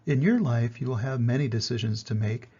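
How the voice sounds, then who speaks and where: A man's voice: medium pitch, brisk, mellow, husky, lo-fi sound. An older man, a grandfather, reading and explaining to young children in a plainspoken, direct way, on a home recording.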